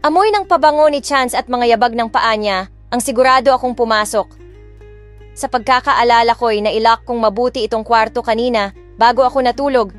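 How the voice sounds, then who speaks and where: A woman's voice: on the high side, brisk. A woman with a Filipino accent narrating a romance audiobook in the first person, telling it with restrained emotion. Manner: emotional, restrained storytelling in Tagalog and English. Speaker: narrator and voice of the heroine. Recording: background music under the voice.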